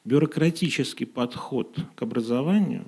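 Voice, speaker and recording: A man's voice: medium pitch, normal speed, vocal fry, a little mellow, slightly rough. A man teacher on a discussion panel, stating his views firmly and polemically. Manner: firm, declarative, polemical. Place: gymnasium hall in front of an audience.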